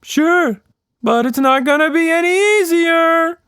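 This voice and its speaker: High-pitched, excitable cartoon monster. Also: male voice, slow, no room sound, studio quality, full character voice. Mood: bossy, playful. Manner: casual, exclamatory, slangy talk. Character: excitable, boastful, playful shapeshifting monster with a weird bike.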